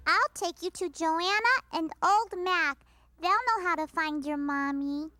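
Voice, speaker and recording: A woman voicing a sweet, cheerful young girl calf who sings gently and soothes a baby frog. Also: very high-pitched, a little slow, heavily acted. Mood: soothing. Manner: gentle, sing-song, soothing.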